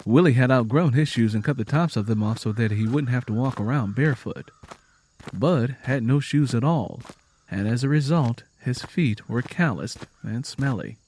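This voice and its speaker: A man's voice: mid-range voice, moderate pace, darkish tone, slightly rough. A man reading scripted story narration in a solemn, dramatic manner.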